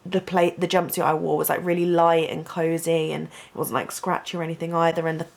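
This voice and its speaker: Young woman, student vlogger, low gravelly voice, casual rambling bedroom chat. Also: little pitch movement, fairly quick. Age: young adult. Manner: chatty, rambling, lots of "like" and "um".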